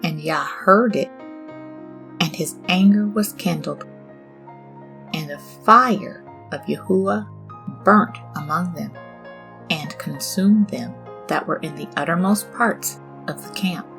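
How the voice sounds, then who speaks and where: Woman, devotional host and scripture reader. Reverent, formal, unhurried delivery with light music underneath. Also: deep voice, creaky, a bit bright. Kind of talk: devotional welcome and expressive scripture reading. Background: background music.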